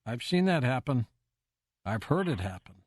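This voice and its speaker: A man's voice: mid-range voice, very animated, moderate pace. A man hosting a radio call-in show, introducing callers and giving brief prompts.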